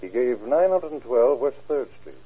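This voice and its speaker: An actor playing a reserved, matter-of-fact bank manager in an old radio crime drama. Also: male voice, mid-range voice, lively intonation, normal speed, breathy edge. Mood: cautious. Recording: muffled lo-fi old radio recording.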